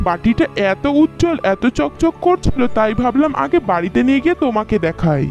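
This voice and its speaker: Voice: mid-range voice, big pitch swings, brisk, darkish tone, dull audio, soft background music. An actor voicing a humble, good-hearted male fisherman bird in a children's cartoon, speaking in a simple, agreeable, earnest way.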